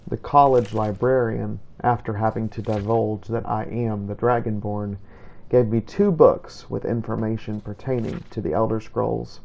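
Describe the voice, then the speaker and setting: A man narrating a video game playthrough in character, telling it as a first-person story in a measured way. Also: on the low side, fairly even intonation, gravelly, darkish tone.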